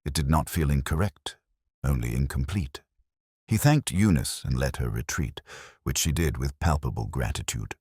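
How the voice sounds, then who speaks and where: Guy narrating a cosy whodunit, super deep voice, dry close sound, formal storybook delivery. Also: lively intonation, darkish tone, husky, no room sound. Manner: measured, literary, formal storytelling. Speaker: audiobook narrator. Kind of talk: scripted narration of a cosy village mystery.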